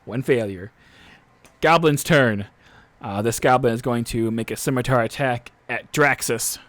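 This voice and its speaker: Male game master, mid-pitched, improvised narration and rules talk, somewhat hesitant. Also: unhurried, fairly bright tone.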